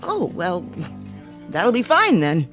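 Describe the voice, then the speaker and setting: An actress playing a polite, hesitant young barmaid in a radio drama, with a deferential manner. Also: female voice, fairly low pitch, wildly swooping pitch, takes it slowly, a bit bright, faint music behind, exaggerated voice.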